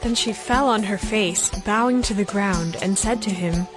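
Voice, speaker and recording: A woman narrates scripture in a measured storytelling style. Her voice is mid-range in pitch. Music plays clearly behind the scripted reading.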